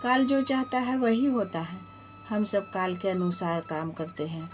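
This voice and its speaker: A woman's voice: medium pitch, measured pace, slight background noise, natural voice. A woman voicing a male god of death who solemnly denies blame in a storybook tale. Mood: insistent. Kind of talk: storybook narration.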